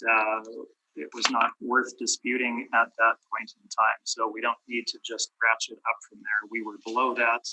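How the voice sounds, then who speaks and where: A hoarse man losing his voice, presenting his side at a property tax hearing in a methodical way, often clearing his throat. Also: mid-range voice, background noise. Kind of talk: formal presentation with many fillers. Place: municipal committee room.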